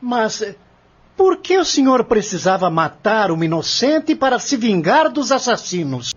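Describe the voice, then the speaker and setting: A man playing a stern, formal and insistent courtroom questioner in an audio drama, with a husky voice. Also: sits high, big pitch swings, a little slow, dull audio, heavily acted. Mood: stern, probing.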